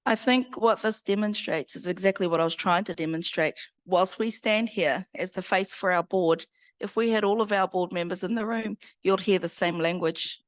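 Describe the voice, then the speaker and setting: A woman's voice: mid-range voice, moderate pace, no echo. Confident New woman, dry sound. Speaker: school board co-chair. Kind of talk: lecture Q&A. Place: parish hall.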